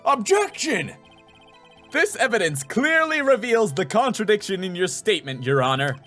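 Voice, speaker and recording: Man putting on an excitable, nervous rookie lawyer. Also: high voice, normal speed, soft background music, voice pushed up, heavily acted. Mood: bold. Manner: stammering, flustered. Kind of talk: dramatic video game dubbing.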